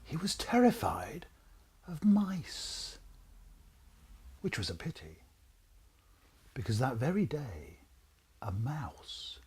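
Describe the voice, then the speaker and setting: A man reading a children's storybook aloud in a theatrical, suspenseful way, with a husky voice that drops into breathy whispers. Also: medium pitch, a little mellow. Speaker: author reading own book.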